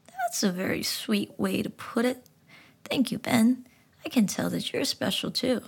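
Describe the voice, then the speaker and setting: A woman voicing a small, kind talking frog that speaks warmly and with amusement. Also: a little high, huge pitch swings, a little slow, creaky, husky, pitched above the performer's own voice, full character voice. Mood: gentle amused. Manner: warm, amused, whispery.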